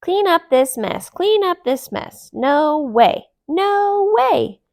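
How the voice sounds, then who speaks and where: A woman's voice: very high voice, very animated, slow pace, creaky, a little mellow, no room sound, clean studio sound, voice pushed up, exaggerated voice. A woman voicing a little male mouse in a children's storybook who mockingly parrots in singsong repetition.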